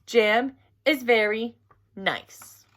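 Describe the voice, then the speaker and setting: A woman voicing an eager, expressive young girl in a children's storybook. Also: fairly high pitch, extremely slow, slight background noise. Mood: singsong. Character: Frances, eager young girl who sings about jam.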